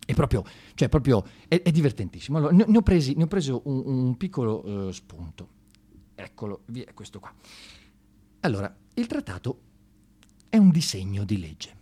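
A man performs political-style satire on stage in a mid-pitched voice. He talks colloquially and haltingly, full of ironic mock praise, and can swing into excited, raised delivery. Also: slightly rough. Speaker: monologue performer in a satirical theatre show. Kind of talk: satirical stage monologue, conversational.

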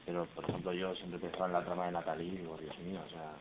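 A man's voice: low-pitched, level tone, very fast. A male actor talking casually and colloquially at a press conference, rambling with tags and quoted asides. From Iberian Peninsula. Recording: muffled, hissy phone-like audio.

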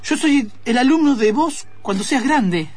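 A man's voice: extremely high pitch, animated, unhurried, noisy background. A man playing a professor's eager, deferential student with an Argentine accent, in an improvised radio comedy. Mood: agreeable. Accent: Argentine, Rioplatense.

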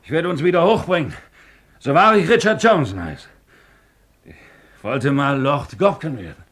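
An actor playing a wistful, down-at-heel joiner who drinks, halting and pleading, in a 1950s German radio play. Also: male voice, on the high side, animated, fairly bright tone. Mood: pleading, boastful. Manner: halting, pleading, swinging into boastful hope.

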